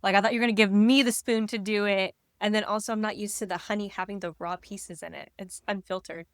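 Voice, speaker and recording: A young woman co-hosting a podcast, slightly raspy from a cold, talking earnestly and pushing back. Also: medium pitch, steady tone, no room sound, studio quality. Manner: earnest, quick to push back. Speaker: co-host. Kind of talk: casual chat.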